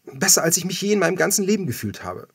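A man voicing an earnest, caring and excitable young man in an audiobook. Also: high-pitched, fast talker, creaky, husky, no echo, studio quality, voice pushed up, exaggerated voice. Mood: elated.

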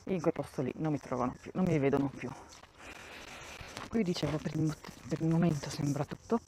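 Mellow-voiced woman rambling on a walk. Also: deep voice, brisk, slight background noise, poor audio. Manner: rambling, thinking aloud, often restarts sentences. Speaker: vlogger touring a campsite. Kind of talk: casual walking tour commentary. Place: outdoors in a wooded campground, walking.

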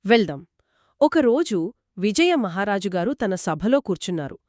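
A woman's voice: medium pitch, big pitch swings, moderate pace, darkish tone, dry recording, studio quality. A woman co-narrating a children's moral story in a conversational storytelling style. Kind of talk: conversational two-person storytelling.

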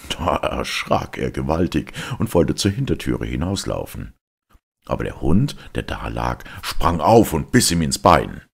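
A man calmly reading a fairy tale aloud as narrator. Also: a bass voice, measured pace, vocal fry, slightly rough.

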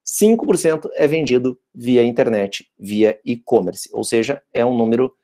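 A Brazilian man presents slides in an online lecture, his voice warm and relaxed. He speaks conversationally, often checking in with his listeners. The sound carries the plainness of a video call. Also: medium pitch, normal speed. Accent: Brazilian Portuguese. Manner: conversational, frequent tag questions, restarts. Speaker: guest lecturer.

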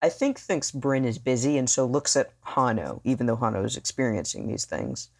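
A player narrating a tabletop role-playing game in halting, thoughtful, improvised phrases. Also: medium pitch, sing-song, gravelly.

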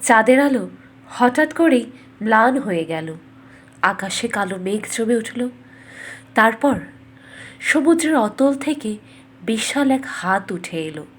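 A woman storyteller narrating a scripted fairy tale in a measured, dramatic way. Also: fairly high pitch, expressive, slightly rough.

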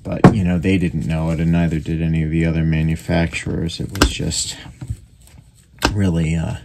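A man giving casual hands-on repair commentary, halting and thinking aloud as he works at an indoor bench. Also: extremely low pitch, flat delivery, vocal fry. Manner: unscripted, halting, thinking aloud while working. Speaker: radio repairer demonstrating his work. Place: indoor workbench. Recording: noisy workshop recording with clicks.

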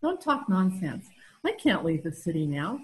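A woman's voice: on the low side, very animated, normal speed, a little mellow, low-quality recording. An older woman playing a gushing, fretful, stubborn wife in an old-time radio comedy, recorded at home over a video call. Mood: anxious. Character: doting, excitable, stubborn wife smitten with puppy.

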